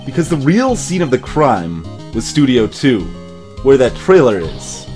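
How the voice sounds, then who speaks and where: A man's voice: medium pitch, big pitch swings, measured pace, darkish tone, soft background music. A man voicing an earnest, determined young male defense attorney from a video game. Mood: explaining. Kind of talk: video game dialogue reading.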